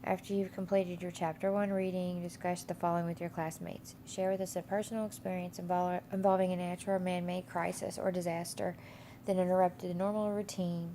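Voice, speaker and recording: Stuffy-nosed woman teacher with a gravelly voice. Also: lowish voice, little pitch movement, brisk, mellow, slight background noise. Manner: rambling with many fillers and restarts. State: has a cold, stuffy nose. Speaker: instructor. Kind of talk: casual screen-share course walkthrough.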